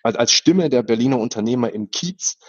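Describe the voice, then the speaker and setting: A man hosting a podcast with an unscripted introduction, speaking in halting, hesitant phrases. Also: medium pitch, expressive, breathy edge, dry recording, dull audio. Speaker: podcast host.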